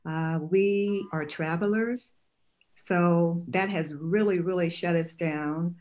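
An older woman, a retired grandmother, speaking as a panelist on a video call from home in a slow, reflective way. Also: fairly low pitch, fairly even intonation, a little mellow. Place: home room, indoors.

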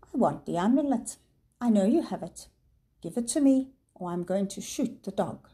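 A woman reading a story aloud, voicing a menacing male professor who sounds pleasant but threatens and shouts. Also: medium pitch, lively intonation, moderate pace, close to an everyday voice. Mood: threatening. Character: sinister male professor villain, smooth then menacing.

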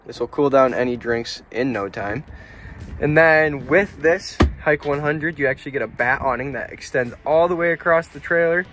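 A young man giving a relaxed, plainspoken walkaround tour of a small camping trailer, filmed on a handheld phone outdoors and then inside. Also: fairly high pitch, normal speed, background noise. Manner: relaxed, plainspoken, pointing things out as he goes.

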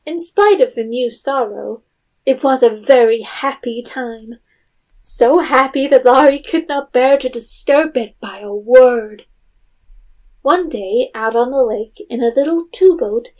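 A woman's voice: sits high, a little mellow. A woman narrator reading a scripted period story as storytelling narration.

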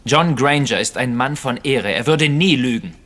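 A man's voice: medium pitch, lively intonation, moderate pace, a bit bright, natural voice. A man playing a stern, indignant husband and father in a German crime radio drama, with a commanding manner.

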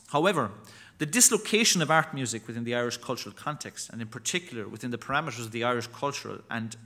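A man in his thirties reads a formal lecture from a lectern microphone in a chapel. His voice is bright and clear, his delivery measured, with the odd restart on a word. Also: medium pitch. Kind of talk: reading a prepared academic talk.

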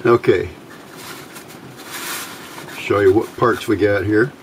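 A middle-aged man giving an informal hands-on tutorial in halting short phrases, pausing often as he explains. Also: lowish voice, poor audio. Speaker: electronics hobbyist demonstrating a homemade circuit. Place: indoors at a workbench. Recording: steady clear background noise.

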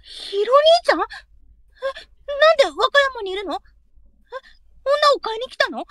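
Young courtesan: very high girlish voice, innocent, emotional, hesitant delivery, period audio drama. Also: sing-song, measured pace, dry recording, clean studio sound, full character voice. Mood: stunned, tearful.

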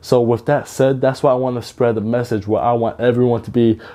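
A man's voice: mid-range voice, level tone, a little fast, dark tone. A man in his twenties from Georgia, introducing his channel to camera in an upbeat, chatty way, using slang and repeating himself. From Georgia, USA. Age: 20s. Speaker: YouTuber. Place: bedroom with lit shelves, indoors.

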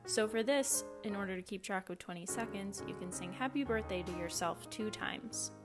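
Woman, 20s, mid-pitched instructional presenter for a kids' how-to, with clear background music. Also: moderate pace. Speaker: cooking show presenter and instructor. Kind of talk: step-by-step instructional narration for children.

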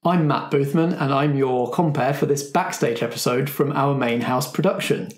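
A man's voice: mid-range voice, moderate pace. A man casually hosting a roleplaying podcast and explaining the game to his players at a table. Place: indoor room, group around a table. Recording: roomy, echoing group table recording.

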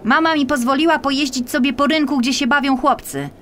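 Woman acting an eager, high-pitched little boy. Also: fairly even intonation, a little fast, fairly bright tone, slight background noise. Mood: excited, eager. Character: excited little boy with a sled.

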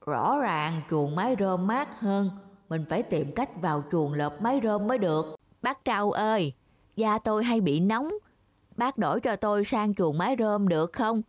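A woman's voice: mid-range voice, expressive, normal speed, dry recording, clean studio sound, natural voice. Woman voicing a scheming, sweet-talking cartoon cow. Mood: wheedling, scheming. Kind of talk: children's cartoon fable dubbing.

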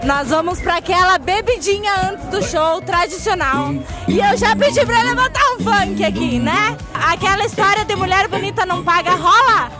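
Young woman, southern Brazilian accent, very high pitch, playful interviewer, handheld mic, noisy festival crowd. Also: moderate pace. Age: young adult. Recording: loud music and crowd behind.

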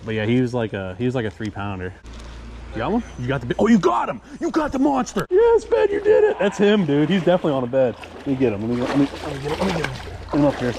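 A man chatting casually and excitably in slang as he hosts a fishing vlog outdoors on an action camera. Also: mid-range voice. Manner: excitable, slangy, says dude and man often. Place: outdoors at a wooded creek. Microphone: action camera worn or held by him. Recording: outdoor recording with background noise.

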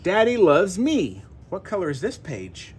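A man's voice: sits high, huge pitch swings, unhurried, darkish tone, breathy edge. A father chatting and reading casually with a toddler, playfully prompting with simple questions. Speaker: father reading to his young daughter. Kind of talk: casual read-aloud and chat with a toddler. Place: carpeted room at home.

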